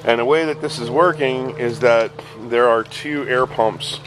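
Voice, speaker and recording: A man's voice: mid-range voice, measured pace, music in the background, low-quality recording. A middle-aged man recording outdoors, casually explaining a hydroponic bucket setup with lots of ums and uhs as he films. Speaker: hydroponics reviewer. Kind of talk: casual how-to walkthrough. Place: outdoors, beside buckets on pavement.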